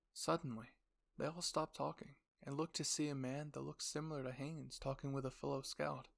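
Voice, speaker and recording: Gravelly-edged man narrating a story. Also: medium pitch, a bit bright. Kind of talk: scripted second-person story narration.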